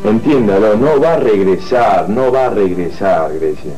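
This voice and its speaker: A man's voice: on the low side, animated, unhurried, warm tone, faint music behind, low-quality recording. A man playing an earnest, stubborn young husband with an Argentine accent in a telenovela. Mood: impatient.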